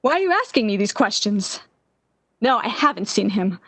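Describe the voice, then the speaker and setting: A woman playing a distraught, obsessive lovesick woman in a 1940s-style radio drama, with an intense, halting, theatrical delivery. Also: medium pitch, big pitch swings, no echo. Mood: anxious.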